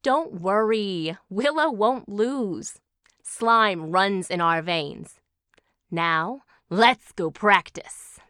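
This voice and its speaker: A woman's voice: mid-range voice, expressive, extremely slow, fairly bright tone, clear, resonant tone, dry recording. A woman playing a confident, competitive aunt and former game show champion in an audio drama.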